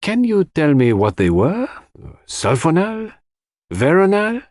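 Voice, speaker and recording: A man's voice: fairly high pitch, huge pitch swings, slow, darkish tone, dry recording, clean studio sound, voice pushed up, heavily acted. A man voicing a shrewd, courteous foreign detective in an audiobook, speaking in a formal, precise, explanatory way. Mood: polite, inquiring. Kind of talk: audiobook character dialogue.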